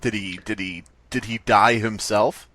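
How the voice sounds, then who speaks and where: A man's voice: fairly low pitch, steady tone, a little slow, bright, forceful tone. A man co-hosting a comedy podcast, joking and teasing in casual banter. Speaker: podcast co-host.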